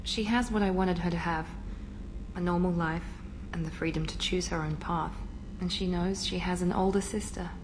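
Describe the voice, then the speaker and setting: An actress voicing a composed adult female operative who talks in a measured, reserved way, in a game cutscene. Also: lowish voice, fairly even intonation, close to an everyday voice. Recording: game audio with ambient noise.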